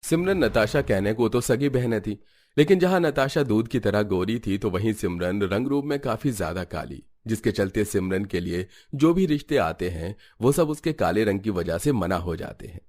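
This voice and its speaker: Low-pitched male story narrator. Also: animated, moderate pace, a little mellow. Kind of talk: scripted cartoon story narration.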